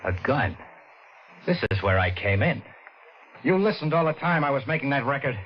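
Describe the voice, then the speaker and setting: An actor playing a sardonic, hard-boiled down-and-out drifter, in 1940s radio acting. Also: male voice, medium pitch, big pitch swings, moderate pace, natural voice. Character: wisecracking down-and-out male drifter. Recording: muffled old radio recording with loud hiss.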